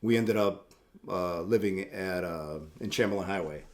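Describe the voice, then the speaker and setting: Middle-aged male guest, low-pitched, relaxed rambling storyteller who circles back on his words. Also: normal speed. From Puerto Rico. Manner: easygoing, rambling, repeats words, laughs often. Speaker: tattoo shop owner, guest. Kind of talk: casual interview chat.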